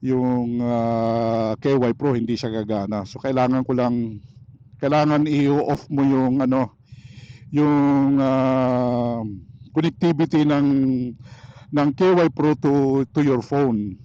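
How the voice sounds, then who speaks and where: A man with a Filipino accent chatting casually in a motorcycle vlog, halting as he searches for words. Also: mid-range voice, muffled recording. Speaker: motorcycle vlogger. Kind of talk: casual vlog chat while riding. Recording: steady vehicle and road noise.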